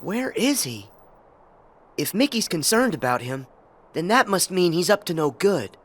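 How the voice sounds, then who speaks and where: A man voicing a plucky, earnest teenage boy hero in an animated game, youthful and curious. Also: high-pitched, a little slow, slight background noise, heavily acted. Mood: puzzled. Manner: youthful, spirited. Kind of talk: animated game character acting.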